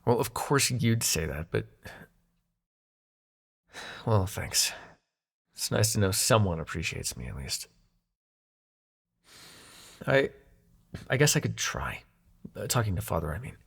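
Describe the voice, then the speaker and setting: A man's voice: on the low side, very animated, takes it slowly, vocal fry, pauses often, a bit bright, husky, heavily acted. A man acting in an intimate audio roleplay as a young god of dreams, sarcastic and wounded but fond, talking in casual, sweary modern slang. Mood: hurt, vulnerable.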